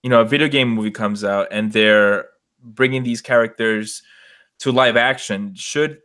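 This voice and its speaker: A man's voice: lowish voice, moderate pace, fairly bright tone. A man hosting a casual podcast discussion, feeling his way through questions and searching for words. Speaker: podcast host steering discussion.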